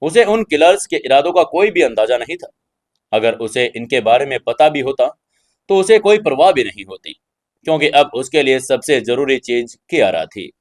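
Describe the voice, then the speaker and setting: Guy narrating a scripted audio story, dramatic storytelling, mid pitched voice. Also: expressive, normal speed.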